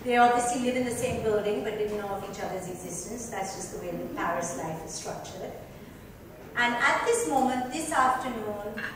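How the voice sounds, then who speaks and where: Theatrical woman reading in an echoey hall. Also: fairly high pitch. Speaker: author reading her book. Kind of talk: dramatic literary reading. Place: club lounge, indoors. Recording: echoey hall, distant mic, faint noise.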